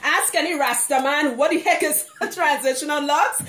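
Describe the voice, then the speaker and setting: An outspoken woman with a Trinidadian accent speaks in a high, bright register. She talks casually to her viewers and laughs easily, and her voice rings around a roomy, echoing space. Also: moderate pace. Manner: animated, outspoken, laughs often. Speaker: loctician and hair care consultant. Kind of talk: casual vlog talk to camera.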